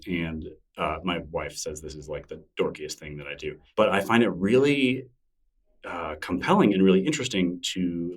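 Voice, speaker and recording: A man's voice: low-pitched, far from the mic. A man guest talking casually and enthusiastically in a library room, rambling with many fillers. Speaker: interview guest. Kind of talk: casual conversation. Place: public library room with a conference nearby.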